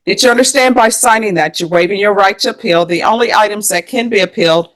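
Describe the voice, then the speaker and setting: A woman's voice: medium pitch, fast, fairly bright tone, low-quality recording. An American woman speaking as a presiding judge, putting formal, methodical procedural questions in court. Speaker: judge presiding over a plea hearing.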